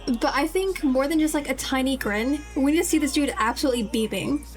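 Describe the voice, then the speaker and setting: A woman's voice: high-pitched, a little fast, faint music behind. A woman game streamer chatting casually and enthusiastically to viewers. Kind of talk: casual livestream chat.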